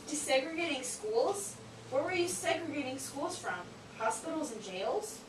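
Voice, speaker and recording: Woman acting a high, echoey, cheeky sock puppet. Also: expressive, normal speed. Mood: teasing, puzzled. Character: cheeky, naive, excitable sock puppet pupil named Pokey. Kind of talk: amateur educational puppet show dialogue. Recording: echoey room, distant mic, hissy noise.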